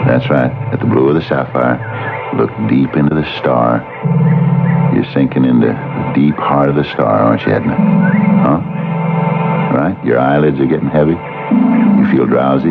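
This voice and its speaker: A scheming husband with an extremely low, gravelly voice in a vintage radio drama. He coaxes haltingly and draws out phrases like a hypnotist. The recording is narrow and lo-fi, with loud music behind. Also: exaggerated voice. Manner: hesitant, coaxing, drawn-out hypnotic phrasing. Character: soft-spoken scheming husband hypnotizing a trusting young woman. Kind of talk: old-time radio drama acting.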